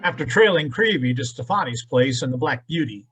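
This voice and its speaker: A man's voice: medium pitch, expressive, a little fast, fairly bright tone, faint music behind. A man delivering dramatic scripted narration for an old-time radio adventure.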